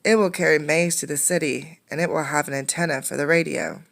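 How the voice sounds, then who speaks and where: Woman reading as earnest young boy, low-voiced. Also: brisk, creaky. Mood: proud, hopeful. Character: inventive, determined young village boy in a storybook.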